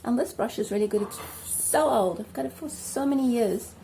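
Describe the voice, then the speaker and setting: A talkative South African woman, recorded on a phone at home, speaks in a fairly high but mellow voice. She rambles loosely through a hair tutorial with plenty of ums. Faint background hiss sits under her speech. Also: brisk, vocal fry, poor audio. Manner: chatty, rambling, with many ums. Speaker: vlogger. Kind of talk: casual hair tutorial chat.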